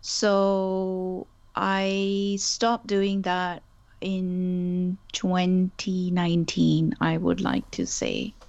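A woman's voice: fairly low pitch, little pitch movement, very slow, creaky, a little mellow, highs cut off. A woman whose first language is Urdu, a guest in a casual interview, speaking thoughtfully with frequent restarts. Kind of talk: conversational interview.

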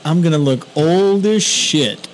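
A man's voice: fairly high pitch, expressive, unhurried, a little hiss or hum, poor audio. A man in his thirties co-hosting a podcast, chatting casually with a joking, teasing, profane manner. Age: 30s. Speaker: podcast co-host.

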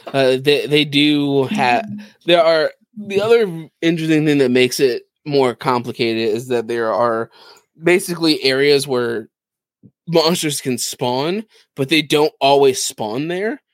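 Man, average pitch, casual podcast chat, enthusiastic explainer, dry sound. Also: measured pace, no room sound. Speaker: podcast co-host. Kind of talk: casual chat about video games.

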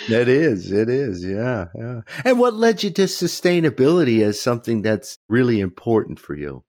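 A man's voice: mid-range voice, wildly swooping pitch, moderate pace, darkish tone, dry recording, clean studio sound. A man hosting a podcast, chatting casually and welcoming his guest in a friendly, upbeat way. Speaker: podcast host.

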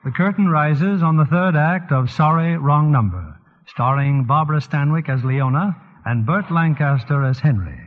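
A man's voice: a little high, lively intonation, normal speed. A man hosting and narrating a 1940s radio drama in a formal, scripted style. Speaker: producer and host. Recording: thin phone-like old radio, faint music.

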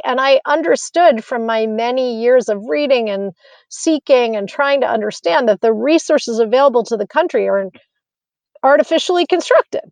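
A woman's voice: on the high side, a little mellow, dry recording. An American woman in her fifties, a physician, answering interview questions in an earnest, reflective way with many fillers and restarts. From United States. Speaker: physician and guest.

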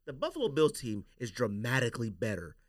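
A man's voice: mid-range voice, wildly swooping pitch, a little fast, no echo, studio quality. An American man hosting a casual sports podcast, giving emphatic, opinionated takes and repeating his points for emphasis.

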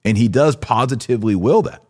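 A man's voice: medium pitch, a little fast, dark tone. A man hosting a podcast, giving conversational religious commentary in an emphatic, teacherly way. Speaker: podcast host.

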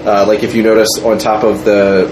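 A man's voice: lowish voice, flat delivery, fairly quick. A man being interviewed as an independent filmmaker, chatting casually in a group. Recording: noisy room with air-conditioning hum.

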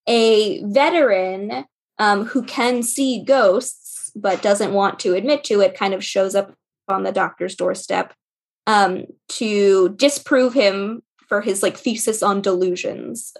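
A woman giving book recommendations in a casual podcast chat, speaking with enthusiasm in loosely strung phrases. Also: mid-range voice.

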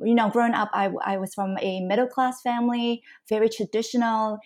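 A woman's voice: medium pitch, a little mellow. A middle-aged woman from Canada, a guest on a podcast, earnestly telling the story of her career. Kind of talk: podcast interview.

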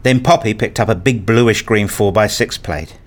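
A man's voice: fairly low pitch, moderate pace. A man reading scripted first-person narration for an audio play, slipping into acted dialogue as a father.

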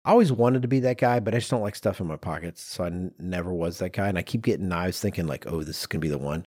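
A man's voice: low voice, quick pace, darkish tone, husky, no echo, clean studio sound. A man co-hosting a podcast, explaining things in a casual, chatty and conversational way. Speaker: podcast co-host. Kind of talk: casual conversational discussion of design objects.

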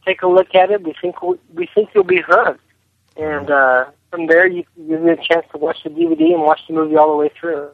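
A man's voice: fairly high pitch, very animated, a little fast, vocal fry, no echo. A man being interviewed as a film director, answering conversationally over a telephone line. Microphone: phone.

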